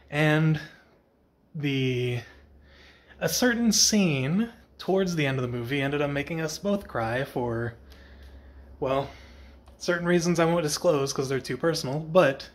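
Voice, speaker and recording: Tired young man, scratchy voice. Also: on the high side. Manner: rambling, self-correcting, soft-spoken. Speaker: vlogger. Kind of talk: casual after-work vlog chat.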